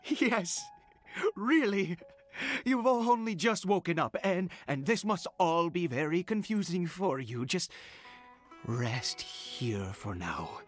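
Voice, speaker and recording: A man playing a fanatical, unhinged father devoted to a mysterious god in a video game, speaking in a theatrical, halting way with emphatic swells. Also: high-pitched, very animated, faint music behind, full character voice. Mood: tender, anxious. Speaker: voice actor. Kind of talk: dramatic game dialogue acting.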